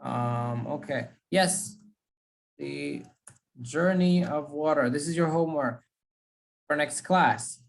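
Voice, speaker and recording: Woman teacher on a video call, a bit low-pitched, drilling points home with repetition and frequent "okay?" checks. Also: slow, creaky, long pauses. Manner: repeats key phrases, checks in with "okay?". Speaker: English speaking teacher. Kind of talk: giving feedback in an online class.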